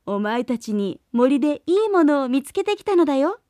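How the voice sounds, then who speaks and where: A woman's voice: sits high, big pitch swings, moderate pace, mellow, no echo, clean studio sound. A woman voicing a sly male wolf who pretends to be the mother goat, coaxing the kids in a storybook reading. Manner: coaxing, then threatening.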